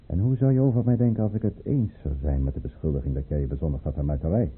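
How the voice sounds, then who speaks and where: An actor playing a scheming, high-ranking courtier in a radio play, with measured, formal, probing speech. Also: male voice, a little hiss or hum. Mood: probing, coaxing. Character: scheming, silky high-ranking male courtier conspiring against the tsar. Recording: muffled, narrow-band old radio recording.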